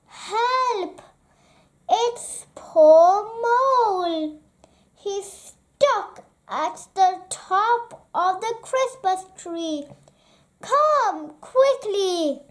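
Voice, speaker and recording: A panicked storybook rabbit, acted by a woman reader, high and urgent. The rabbit pants out each plea for help, with drawn-out words. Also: expressive, full character voice. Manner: panting, urgent. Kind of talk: children's storybook character voice.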